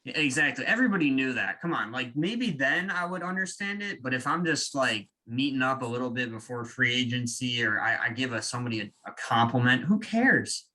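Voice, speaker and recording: A young man co-hosting a casual sports podcast, talking in an animated, joking way with quick opinions. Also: mid-range voice, poor audio. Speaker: podcast co-host.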